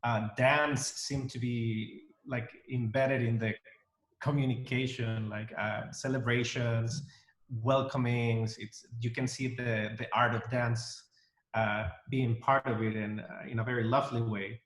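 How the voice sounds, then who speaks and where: A man's voice: mid-range voice, steady tone, a little slow, echoey room, low-quality recording. A man hosting an interview over a video call, speaking in a welcoming way with many fillers and restarts. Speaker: interview host. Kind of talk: casual interview.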